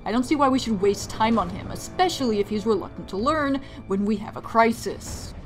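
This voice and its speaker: A woman playing a blunt, suspicious assassin officer in an animated fantasy series, brusque and sardonic in manner. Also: on the high side, brisk, close to an everyday voice. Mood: scornful. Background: music.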